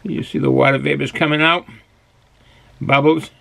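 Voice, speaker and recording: Gravelly older Boston man, bright voice. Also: a little high, fairly even intonation, moderate pace. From Boston, United States. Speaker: beer reviewer. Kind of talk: casual review chat to camera. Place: small cluttered indoor hobby room.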